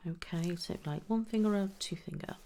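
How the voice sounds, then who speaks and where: A woman speaking softly and reassuringly, often slipping into a whisper, as she plays a doctor in an ASMR exam roleplay. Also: fairly low pitch, fairly quick, slight background noise. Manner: soft-spoken, reassuring, often drops into a whisper. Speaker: ASMR host role-playing a doctor.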